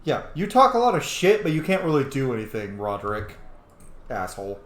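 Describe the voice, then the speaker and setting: Brief: young male streamer, lively and profane; roomy mic, light hiss. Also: mid-range voice, a little fast. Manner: animated, swearing, reacting. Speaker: roleplay game streamer. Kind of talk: casual game commentary. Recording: roomy room with faint noise.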